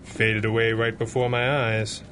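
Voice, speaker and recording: A man's voice: fairly low pitch, flat delivery, a little slow, fairly bright tone, a little hiss or hum. A man playing a suave billionaire playboy superhero with a dry, understated manner in a pulp radio drama. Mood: dry, composed. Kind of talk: 1930s pulp radio acting.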